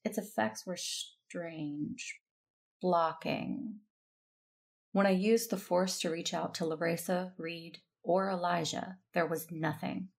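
Female Jedi lead for audio drama: earnest, determined, introspective, on the lower side with gravelly edges. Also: steady tone, slow. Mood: grim, reflective. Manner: introspective, earnest first-person narrating. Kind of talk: audio drama acting with narration.

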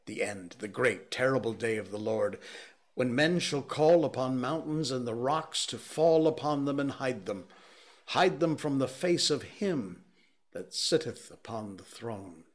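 A man voicing a shocked, despairing male clergyman who wails and preaches in rising outbursts, with a husky voice. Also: mid-range voice, a little slow, pitched above the performer's own voice, natural voice. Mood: raving.

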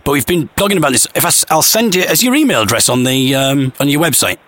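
A man's voice: on the high side, animated, quick pace, fairly bright tone. A man chatting casually as an interviewer on a phone call, talking in a hesitant way and rephrasing as he goes. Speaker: interviewer tracing people from an old tape. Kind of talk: casual investigative phone chat.

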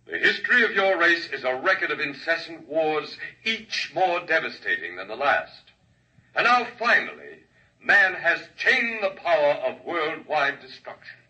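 Solemn alien orator. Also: male voice, on the high side, very animated, sharp tone, full character voice. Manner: formal, measured oratory. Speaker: alien speaker addressing a crowd. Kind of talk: 1950s radio drama acting. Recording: muffled 1950s radio broadcast, distant mic.

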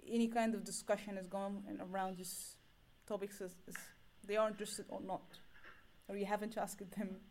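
A woman's voice: mid-range voice, flat delivery, moderate pace, a little hiss or hum. A woman interviewing a guest, asking long loosely phrased questions and giving frequent murmured agreement. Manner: frequent soft backchannels, rambling questions.

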